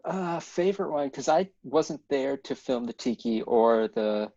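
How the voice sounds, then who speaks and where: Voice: mid-range voice, lively intonation, unhurried, dark tone, dry recording. A person chatting casually as a cast member on a video call. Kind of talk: casual chat. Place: online group video call. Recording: dull lo-fi video call audio.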